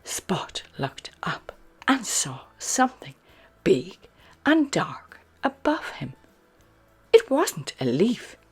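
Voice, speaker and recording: A woman narrating a children's picture book aloud in a slow, soft, very expressive way. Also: mid-range voice, breathy edge, whispered, faint music behind. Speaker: storyteller.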